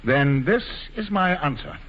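Proud noble lord, old radio. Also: male voice, fairly high pitch, big pitch swings, measured pace. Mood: commanding. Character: proud noble English lord and military commander, gallant lover. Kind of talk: 1940s radio drama acting. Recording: dull, noisy old radio recording.

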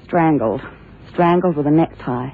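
An actress playing a detective's worried, loyal secretary in a radio drama, earnest and hesitant with a husky voice. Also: female voice, deep voice, unhurried, slight background noise. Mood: worried, defensive. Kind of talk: 1960s-70s radio drama acting. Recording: tinny phone-like vintage radio drama.

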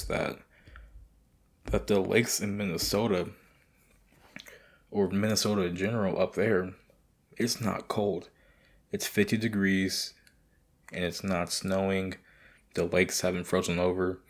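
A young man hosting his podcast, rambling and swearing in a casual late-night monologue with a raspy voice. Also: low-pitched. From United States. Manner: profane, rambling, loose. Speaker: podcast host.

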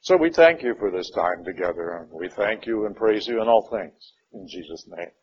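A man leading a Bible study class in a church meeting room, first praying and then teaching in an unhurried, conversational way, a little raspy from a cold. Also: fairly low pitch, lively intonation, highs cut off, a little hiss or hum. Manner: unhurried, conversational, with frequent "uh" and "all right". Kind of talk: opening prayer then informal Bible lesson.

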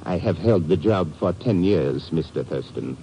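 A formal suspicious inspector in an old radio show, really deep mellow voice, dry irony. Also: male voice, animated. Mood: dry, measured. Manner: formal, dryly ironic, measured. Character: suave, suspicious Indian harbor police inspector. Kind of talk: 1950s radio drama acting. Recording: faintly noisy old radio recording.

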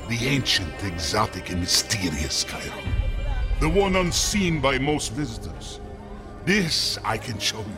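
An actor playing a sinister tour guide in a radio drama, formal and courteous. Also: male voice, mid-range voice, very animated, measured pace. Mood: courtly. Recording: music and crowd behind the voice.

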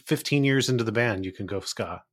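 A man's voice: lowish voice, a little fast. A man co-hosting a podcast in casual conversation. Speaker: podcast co-host. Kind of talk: casual chat.

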